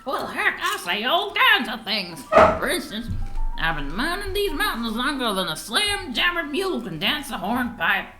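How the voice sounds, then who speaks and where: A woman's voice: sits high, sing-song, normal speed, over music. A woman voicing a folksy old male prospector with a twangy, grizzled manner. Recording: roomy echo.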